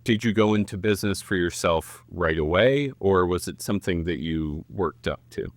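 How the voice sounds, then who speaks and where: A man's voice: low-pitched, moderate pace, no room sound, studio quality. A man working as a podcast producer and interviewer, introducing the show and putting questions to a guest.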